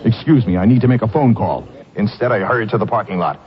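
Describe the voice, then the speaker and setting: Brisk deadpan detective. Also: male voice, fairly low pitch, husky. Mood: dry, brisk. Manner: clipped, matter-of-fact. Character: deadpan detective-style male narrator in a car commercial. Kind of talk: 1970s radio ad, detective parody. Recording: dull old radio recording.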